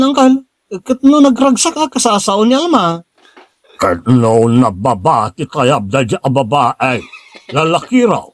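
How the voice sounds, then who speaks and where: A man's voice: mid-range voice, slightly rough, no echo, studio quality. A man acting an earnest, talkative and insistent nephew in an Ilocano drama.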